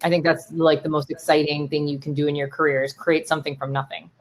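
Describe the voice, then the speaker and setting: A woman in her thirties from Miami whose first language is Spanish, telling her story in a casual interview with lots of fillers and animated asides. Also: low-pitched, fast talker, a little hiss or hum. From Miami, Florida. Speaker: startup CEO and keynote guest. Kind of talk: conversational interview.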